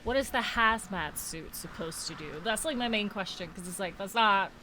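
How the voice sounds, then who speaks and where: A woman with a mid-pitched voice reacts casually on a livestream. She is informal and wry, joking along, with clear noise from the horror video behind her. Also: moderate pace. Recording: noisy, film audio behind voice. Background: sound of the horror video being watched.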